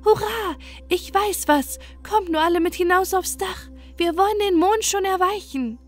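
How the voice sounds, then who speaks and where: A woman voicing an eager little lapwing bird in a storybook, squealing high and quick. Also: faint music behind. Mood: excited.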